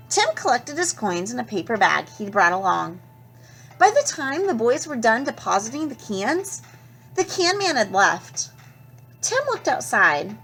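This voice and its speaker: Lively, expressive woman reading to children. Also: on the high side, creaky, rough recording. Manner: expressive, pauses to ask young listeners questions. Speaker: teacher reading aloud. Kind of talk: children's storybook read-aloud.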